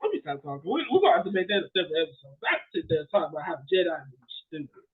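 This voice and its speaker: High pitched guy on a podcast, slangy and excitable chat, kinda muffled lo-fi audio. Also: rapid-fire. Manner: animated, slangy, exclamatory. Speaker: podcast co-host. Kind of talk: casual chat, storytelling and ranting.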